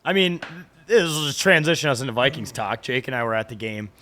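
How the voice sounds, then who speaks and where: A man with a mid-pitched voice hosts a sports podcast in a brash, joking way. He swears casually and talks loosely with his co-hosts. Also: expressive, quick pace, bright, forceful tone. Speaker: podcast host.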